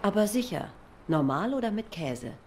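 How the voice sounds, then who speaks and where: An actress playing an obliging, down-to-earth young cat waitress in a noir video game, plain-spoken and a little hesitant. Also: female voice, mid-range voice, very animated, moderate pace, darkish tone, slight background noise, natural voice. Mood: businesslike.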